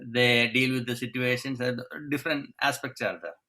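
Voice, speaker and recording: A man hosting an interview over a video call, asking questions in halting, non-native phrasing. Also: medium pitch, steady tone, sharp tone. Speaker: interview host.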